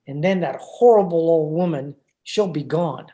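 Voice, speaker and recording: A man playing a henpecked, scheming husband in an old-time radio horror drama, coaxing in a deliberate, repetitive way. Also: on the high side, warm tone, close to an everyday voice. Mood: coaxing, sly.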